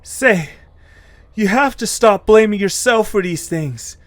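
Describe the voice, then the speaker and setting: A man playing a young male adventurer in an amateur audio drama, gentle, forgiving, hesitant and earnest. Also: high voice, lively intonation, takes it slowly, no room sound. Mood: grateful, concerned.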